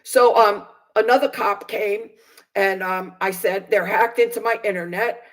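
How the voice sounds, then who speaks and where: A woman's voice: mid-range voice, fairly even intonation, a little slow. A woman in her sixties giving personal testimony to viewers in an aggrieved, emphatic manner, repeating phrases for stress. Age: 60s. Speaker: vlogger recounting personal experience.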